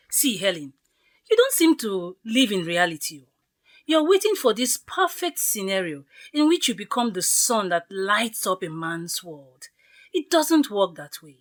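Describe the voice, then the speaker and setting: A woman playing a bossy, blunt friend in an audio drama, nagging emphatically with exasperation. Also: mid-range voice. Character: Gladys, bossy, scolding, blunt female friend of the bride.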